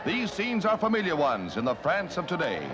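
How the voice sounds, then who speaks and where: A man's voice: a little high, huge pitch swings, normal speed. A man with an American accent narrating a 1940s newsreel in a dramatic, declamatory scripted style. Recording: dull lo-fi 1940s newsreel soundtrack. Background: newsreel music.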